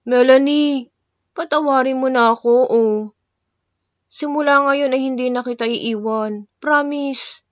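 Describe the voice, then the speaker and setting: Woman voicing a pleading young man, raised pitch. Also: steady tone, a little slow, long pauses, no room sound, muffled recording. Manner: earnest, imploring. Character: pleading young man, remorseful childhood sweetheart. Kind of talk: dramatized Tagalog romance audio story.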